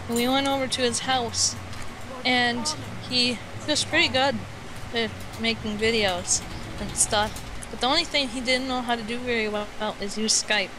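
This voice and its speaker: A playful, halting speaker from the United States telling a rambling tall tale as a guest on an internet voice call. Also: medium pitch. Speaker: guest storyteller on a gaming voice call. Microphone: Skype call. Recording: clearly noisy internet voice call.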